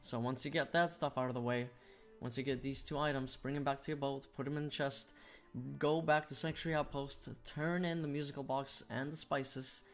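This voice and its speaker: A man's voice: medium pitch, steady tone, normal speed. A man giving a casual, step-by-step video game walkthrough as a guide host. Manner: explanatory, step-by-step. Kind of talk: walkthrough commentary, casual instructional. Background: music.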